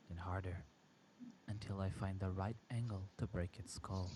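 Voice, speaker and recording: A man reading a first-person horror story aloud in a halting, dramatic way. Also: on the low side, background noise. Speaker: amateur story reader.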